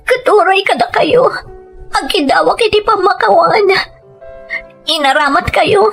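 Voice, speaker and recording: A woman's voice: lively intonation, a little slow, creaky, fairly bright tone, heavily acted. A woman with a Filipino accent playing a remorseful, desperately pleading wife in a radio drama, her voice tearful. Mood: remorseful begging. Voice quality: high, tearful voice. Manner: pleading, apologetic. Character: remorseful wife who gambled away her husband's money, pleading desperately. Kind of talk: Ilocano radio drama acting. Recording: music bed under the voice.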